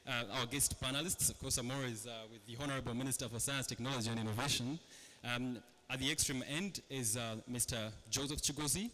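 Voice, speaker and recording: A man's voice: mid-range voice, fast talker, sharp tone. A man with a Ugandan accent moderating a panel, hesitant with many fillers. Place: large hall. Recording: reverberant hall with faint ambience.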